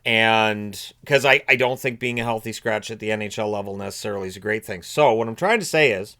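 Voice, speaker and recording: A man's voice: fairly low pitch, sharp tone. A man with a cold, sounding a bit sniffly, hosting casual solo hockey commentary and thinking aloud with frequent fillers and restarts. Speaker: hockey commentary channel host.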